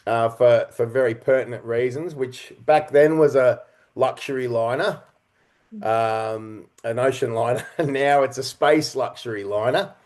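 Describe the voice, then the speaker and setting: A middle-aged man speaking as an interview guest, telling stories in a reflective, halting and earnest way. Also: medium pitch, a little mellow. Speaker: interview guest, writer, director and performer.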